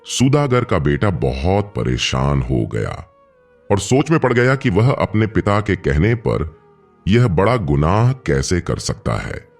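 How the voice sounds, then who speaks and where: A man's voice: sits low, very animated, takes it slowly, gravelly. A man narrating a scripted, dramatic moral tale as a storyteller. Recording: music bed behind the narration. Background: background music.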